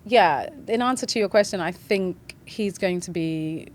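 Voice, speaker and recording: British woman in her 40s chatting as a guest, lively storyteller, laughs a lot, faint hum behind. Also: on the low side, measured pace. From England. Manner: animated storytelling, frequent laughs and restarts. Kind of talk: casual interview conversation.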